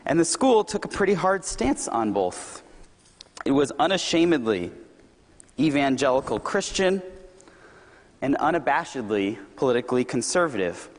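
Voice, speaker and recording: A young man gives a Sunday sermon with an easygoing, joking warmth, his voice slightly hoarse. His words ring out with a long echo through a big church hall. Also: medium pitch, normal speed. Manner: relaxed, self-deprecating and conversational. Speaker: youth director and occasional preacher.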